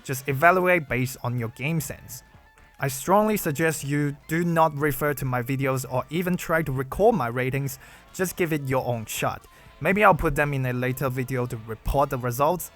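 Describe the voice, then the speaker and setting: A young man from China with a Chinese accent, a Mandarin native speaker, reading out a Q&A video in a measured, careful way. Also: medium pitch, fairly bright tone, soft background music. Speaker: gaming YouTuber. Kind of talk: read Q&A video narration.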